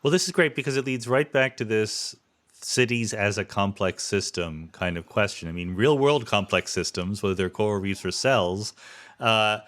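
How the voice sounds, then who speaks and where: Low-pitched man hosting a podcast. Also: moderate pace, fairly bright tone. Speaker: podcast host. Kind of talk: conversational science interview.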